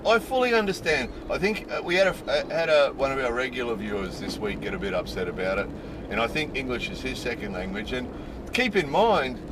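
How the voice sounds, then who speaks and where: A middle-aged man, chatting casually to camera in a rambling way with lots of "you know". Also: mid-range voice. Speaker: vlogger living in Japan. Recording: outdoor street noise.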